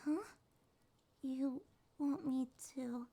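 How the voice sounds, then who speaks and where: A woman voicing a shy, sweet young succubus monster girl in a soft, breathy, hesitant ASMR roleplay. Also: high-pitched, very slow, heavily acted. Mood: sheepish, soft. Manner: hesitant, gentle, slightly stammering.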